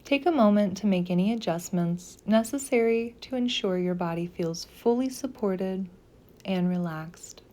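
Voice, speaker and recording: A woman leading a guided meditation as a scripted practice, speaking softly and gently in deliberate phrases with pauses. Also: mid-range voice.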